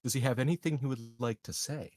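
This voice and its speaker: A man's voice: medium pitch, fast, darkish tone, dry recording. A man playing a tabletop game, speaking in character as a judge putting questions. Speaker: tabletop player acting as a judge.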